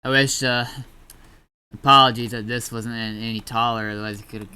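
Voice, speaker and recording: Bright, clear-voiced man in casual roleplay. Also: mid-range voice, fairly even intonation, a little fast. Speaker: streamer playing online roleplay. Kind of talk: casual in-game roleplay chat.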